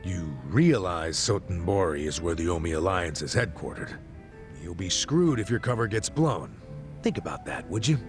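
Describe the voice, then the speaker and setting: A man's voice: deep voice, animated, moderate pace, music in the background. A man voicing a stern, world-weary, blunt character in a video game dub. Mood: stern warning.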